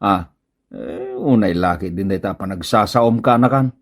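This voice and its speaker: A man acts out an ageing bachelor uncle with a Filipino accent, sheepish and easily wounded. He speaks hesitantly in drawn-out, sighing phrases that swing widely in pitch, in radio comedy drama style. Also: expressive.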